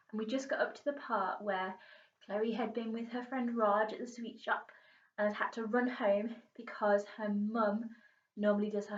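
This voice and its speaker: A British woman, a primary school teacher, reading a storybook aloud expressively. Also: mid-range voice. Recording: muffled, echoey room, distant mic.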